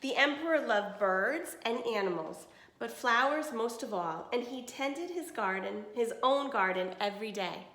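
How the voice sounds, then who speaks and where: Higher-voiced woman reading aloud in an echoey room. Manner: animated storytelling, pausing to explain and question listeners. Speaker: teacher reading a picture book aloud. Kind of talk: expressive children's read-aloud with asides and explanations.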